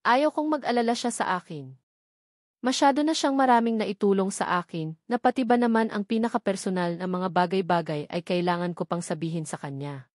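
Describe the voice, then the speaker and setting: A woman's voice: mid-range voice, brisk, a little mellow, no room sound, studio quality. A woman reading scripted first-person novel narration as an audiobook narrator.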